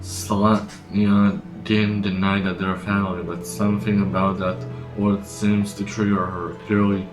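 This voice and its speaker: A woman's voice: a bass voice, level tone, a little slow. A woman reading along and commenting while playing a story game. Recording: roomy, distant mic, game music behind.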